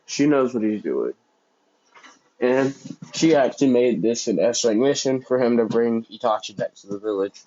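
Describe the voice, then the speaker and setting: A young man casually telling a fan fiction story out loud, rambling and correcting himself as he goes. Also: medium pitch, dull audio. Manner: rambling, self-correcting, many fillers.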